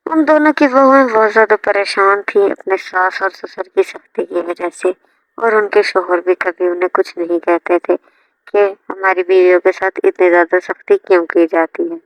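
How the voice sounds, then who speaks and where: A woman's voice: medium pitch, fairly even intonation, normal speed, no echo. A woman reading a scripted story as its narrator, with music playing behind her. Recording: dull lo-fi audio, music behind. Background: background music.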